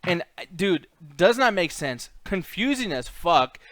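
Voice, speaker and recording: Male co-host, smooth higher voice, blunt opinionated chat with heavy swearing, close dry recording. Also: sing-song, measured pace, fairly bright tone, dry recording. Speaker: co-host of a video game movie chat show.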